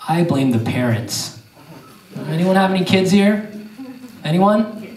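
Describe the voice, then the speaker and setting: A man's voice: high-pitched, lively intonation, unhurried, a little mellow. A man from Pakistan doing loose, self-mocking stand-up and crowd work on a theater stage. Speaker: stand-up comedian. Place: theater stage before an audience. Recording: echoey theater, distant mic, crowd noise.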